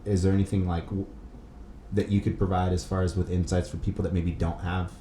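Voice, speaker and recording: Low-voiced man in an echoey room. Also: level tone, fairly quick, dark tone. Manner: informal, rambling, questioning. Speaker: podcast host. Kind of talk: casual conversational interview talk. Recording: roomy echo with faint background noise.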